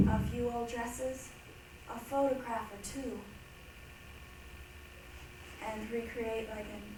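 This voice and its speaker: A woman's voice: mid-range voice, close to an everyday voice. Woman acting a wistful woman, distant and echoey. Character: reflective woman recalling her childhood, earnest and wistful. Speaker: reader in a reader's theatre performance. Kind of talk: staged dramatic monologue reading. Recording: distant, noisy, echoey room recording.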